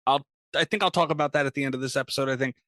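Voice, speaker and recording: A man's voice: mid-range voice, very fast, a bit bright, no echo, studio quality. A man co-hosting a podcast in casual banter, blunt, profane and teasing. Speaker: podcast co-host.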